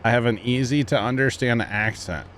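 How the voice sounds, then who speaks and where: A man's voice: medium pitch, normal speed, fairly bright tone, slight background noise. A man in his mid-thirties from Missouri, chatting casually and teasing friends while streaming a farming game. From Missouri, USA. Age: 30s. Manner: joking, teasing, chatty with lots of fillers. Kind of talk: casual livestream chat while gaming.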